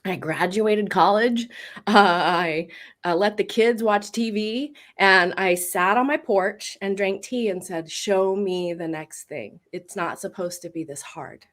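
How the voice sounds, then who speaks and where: A midlife woman with a big voice, telling her story as a voice coach in a casual interview, lively and laughing often. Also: medium pitch, a little slow. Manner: expressive storyteller with bursts of laughter. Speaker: voice coach and guest.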